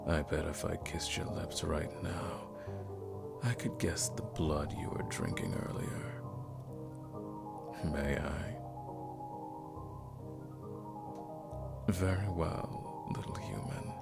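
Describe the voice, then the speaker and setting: A man acts out a seductive male vampire lord with an extremely low voice that crackles with gravel. He draws his words out slowly, teasing and condescending like a predator toying with prey, in an intimate ASMR audio drama. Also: expressive, full character voice. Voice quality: deep, creaky, breathy at times. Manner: slow, teasing, drawn-out, condescending. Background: music.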